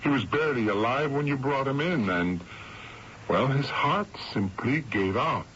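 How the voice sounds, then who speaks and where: An actor playing a grave, puzzled hospital doctor in a radio drama, speaking formally and earnestly. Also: male voice, mid-range voice, expressive, a little slow, a bit bright. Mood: grave, sympathetic. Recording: dull, noisy old radio broadcast.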